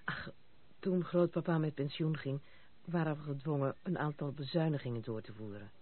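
A general's young granddaughter, direct and wry, speaking with disillusioned frankness. It is radio drama acting, captured on a tinny, narrow-band old recording. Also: female voice, sits low, normal speed. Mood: disillusioned, confiding.

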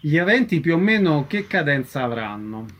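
A man's voice: on the high side, big pitch swings, normal speed, fairly bright tone. A man hosting a radio interview programme, introducing guests and asking them questions.

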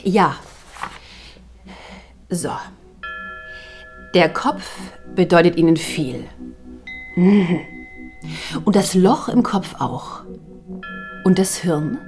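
A female therapist in a radio drama, speaking very slowly in a lowish voice over soft music. She is clinical and probing, setting out short, clipped phrases as she appraises her patient. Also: animated. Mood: measured, appraising. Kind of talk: German radio drama acting.

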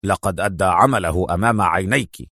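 Man playing a low-voiced stern spy chief. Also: fairly quick, no room sound, clean studio sound, natural voice. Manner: firm, stern, curt. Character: stern male spy chief, commanding and self-assured.

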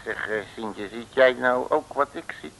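An actor playing a bearded, boastful, grumbling detective in a comic Dutch radio drama from around 1960, blustering in colloquial hesitant speech. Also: male voice, medium pitch, measured pace, vocal fry, fairly bright tone. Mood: puzzled. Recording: noisy old radio recording.

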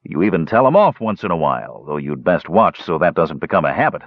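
A man voicing a stubborn older dwarf uncle in a fantasy audiobook, gruff but fond, with folksy, teasing scolding. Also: deep voice, sing-song, brisk, dry recording, narrow, tinny audio, heavily acted. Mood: amused, fond. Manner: old-fashioned folksy speech, scolding and teasing.

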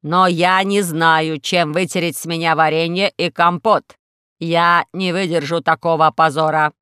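A woman voicing a gloomy, melodramatic male former combat robot in a slow, mournful, drawn-out manner for a children's story. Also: low-pitched, steady tone, bright, forceful tone, clean tone, no echo, clean studio sound. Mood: gloomy, resigned.

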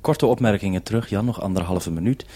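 A man hosts a live radio interview. His voice sits fairly low and is very mellow as he puts questions across a two-way link. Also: fast, slightly rough. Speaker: radio host.

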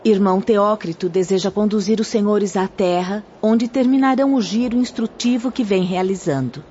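A woman's voice: mid-range voice, moderate pace, warm tone, close to an everyday voice. A woman playing a kindly young spirit guide in a radio drama, formal, gracious and instructive. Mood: composed, gracious. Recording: dull, faintly noisy audio.

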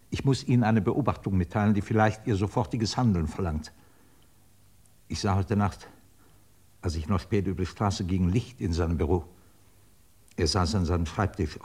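Radio drama role: businesslike senior official in his seventies, rough lowish voice, factual telephone-call delivery. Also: darkish tone. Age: 70s. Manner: formal, clipped, factual.

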